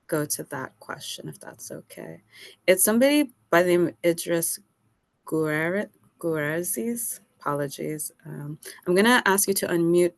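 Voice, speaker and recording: A woman's voice: sits low, creaky, dry recording. A woman moderating a video call, polite and a little apologetic, with fillers as she manages the questions. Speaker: webinar moderator.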